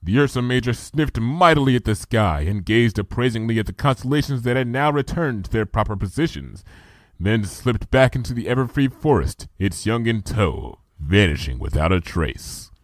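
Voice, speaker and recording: A man narrating a story in an expressive, animated reading style. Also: medium pitch, moderate pace, dry recording. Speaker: fanfic reader.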